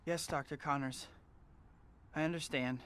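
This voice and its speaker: Earnest, high-voiced young American student hero. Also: male voice, steady tone, a little slow, a bit bright. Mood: apologetic. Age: young adult. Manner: hesitant, awkward, stammering when pressed. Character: earnest young male student superhero, apologetic but wisecracking. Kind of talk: video game cutscene voice acting.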